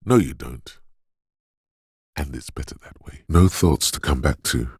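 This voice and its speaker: A man voicing a video game's inner voice of intuition, dreamy, ominous and mysterious, narrating in a portentous, poetic way with a deep, gravelly, husky tone. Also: measured pace, darkish tone, dry recording, studio quality, exaggerated voice. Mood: hushed, dreamy. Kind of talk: scripted second-person video game narration.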